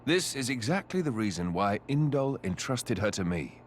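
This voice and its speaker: A man's voice: medium pitch, big pitch swings, moderate pace, slight background noise, lightly acted. An actor playing an earnest, dutiful young nobleman warrior in a video game cutscene, speaking frankly. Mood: frank, guarded.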